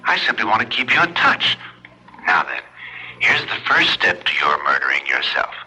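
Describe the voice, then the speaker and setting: Radio mystery villain: cold, calculating, mocking, with a piercing edge and swooping delivery. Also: male voice, low-pitched, huge pitch swings, normal speed, bright, forceful tone, husky, heavily acted, poor audio. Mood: mocking menace. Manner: smug, calculated, menacing. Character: cold, mocking male killer taunting his victim by phone. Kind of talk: old-time radio drama acting.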